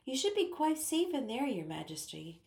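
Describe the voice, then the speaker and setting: A woman's voice: high voice, very animated, normal speed, gravelly, a little mellow, pitched above the performer's own voice, exaggerated voice. A woman doing an eager young girl. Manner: earnest, eager to please. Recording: roomy echo.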